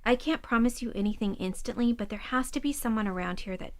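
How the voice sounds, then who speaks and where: Actress as an earnest, fairly high-voiced woman friend. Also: fast talker, natural voice. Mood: earnest, practical. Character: young woman kitchen witch, earnest, caring, practical friend. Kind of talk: audio drama acting.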